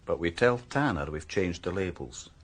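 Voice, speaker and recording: A shrewd senior detective in a radio crime drama. His voice is low and husky. He lays things out in a measured, assured way, sure of himself. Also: expressive. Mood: sly, confident. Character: shrewd, confident male senior detective planning a trap. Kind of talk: naturalistic radio drama dialogue.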